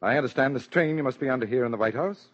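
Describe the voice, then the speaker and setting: An actor playing a formal, tactful family doctor in a measured 1940s radio drama style. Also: male voice, medium pitch, lightly acted. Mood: grave, placating. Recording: dull old 1940s radio recording.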